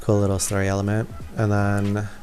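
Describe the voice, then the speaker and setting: A man's voice: fairly low pitch, monotone, fairly quick, darkish tone. A man giving a casual, informal gameplay walkthrough, thinking aloud hesitantly as he plays. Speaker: gaming video creator. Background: faint game music.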